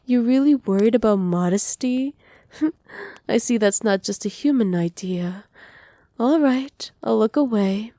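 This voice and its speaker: A woman playing a doting, possessive witch in an ASMR roleplay, speaking softly with teasing, condescending affection. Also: a little high, expressive, unhurried, dry recording, clean studio sound. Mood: amused, threatening. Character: doting, possessive witch mistress pampering her captive pet. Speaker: voice actor.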